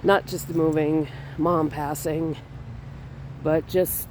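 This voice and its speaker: A slightly high-voiced man with a stuffy, congested sound talks casually while strolling outdoors. His delivery rambles and restarts. A faint hum of traffic and outdoor noise sits behind him. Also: slow. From Minnesota. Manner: relaxed, rambling, with small laughs and restarts. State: stuffy from a cold. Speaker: artist vlogger. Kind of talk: casual walking vlog. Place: outdoors in a cemetery on a fall day.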